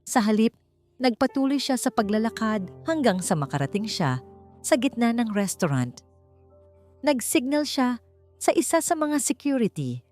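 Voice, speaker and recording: A woman reading a scripted dramatic audiobook story in a measured manner, with dramatic pauses. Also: on the high side, big pitch swings, darkish tone. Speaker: audiobook narrator. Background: background music.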